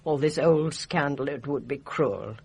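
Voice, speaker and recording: An actress playing a blunt, defensive older village nurse with a British accent in a radio drama. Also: female voice, extremely low pitch, a little slow, vocal fry, a little mellow, husky, heavily acted. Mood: protesting. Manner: blunt, guarded, indignant.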